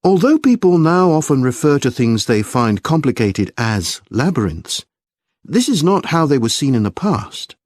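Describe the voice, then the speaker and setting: A man delivering a scripted academic lecture in a measured, explanatory manner. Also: medium pitch, sing-song, darkish tone, no echo, clean studio sound. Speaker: lecturer in a listening test.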